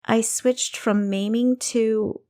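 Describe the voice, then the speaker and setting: Woman acting a shaken, slow, sullen young woman. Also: a little high, fairly even intonation, mellow, pitched above the performer's own voice. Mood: distressed, halting. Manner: sullen, halting. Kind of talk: audiobook character dialogue.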